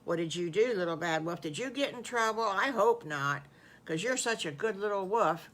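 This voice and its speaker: An older woman voicing a motherly female pig in a children's story, fond and concerned, questioning and gently scolding. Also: mid-range voice, expressive, normal speed.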